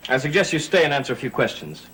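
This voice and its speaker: An actor playing a suave, insistent gentleman in a gothic soap opera, mock-polite and pressing. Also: mid-range voice, lively intonation, quick pace, a little hiss or hum. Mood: smooth, pressing. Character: suave, insistent gentleman probing with veiled threats. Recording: old TV soundtrack with music behind.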